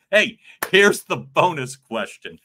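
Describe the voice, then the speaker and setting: Middle aged coach guy going live from home, loud, bouncy pitch, jokey with viewers. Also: slight background noise. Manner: animated, joking with viewers, loud and expressive. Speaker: communication coach. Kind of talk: livestream coaching talk. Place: home room with lamp. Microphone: large studio mic in front.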